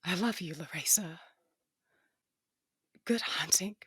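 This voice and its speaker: An actress playing a dying, foul-mouthed warrior woman, defiant yet loving, with weak breath and halting, blunt speech. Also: on the low side, a bit bright, breathy edge, no room sound, clean studio sound, full character voice. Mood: weak, tender defiance.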